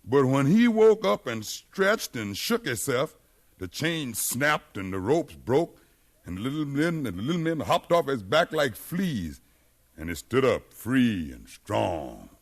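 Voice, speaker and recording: An American actor playing a bold, educated freed slave who leads a revolt, with impassioned oratory in 1950s radio drama style. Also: male voice, medium pitch, sing-song, unhurried. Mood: impassioned, persuasive. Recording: remastered 1950s radio broadcast.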